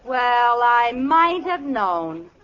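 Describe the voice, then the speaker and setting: An actress playing a scatterbrained, brazen housewife in an old radio sitcom, with a daffy, indignant comic delivery. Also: female voice, on the high side, very animated, extremely slow, full character voice. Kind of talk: 1940s radio comedy acting. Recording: muffled, noisy old radio recording.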